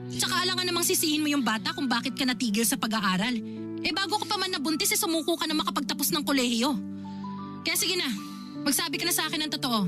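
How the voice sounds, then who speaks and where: An exasperated older sister in a Filipino radio drama. Her voice is very high, emotional and insistent as she scolds. Music plays clearly behind her.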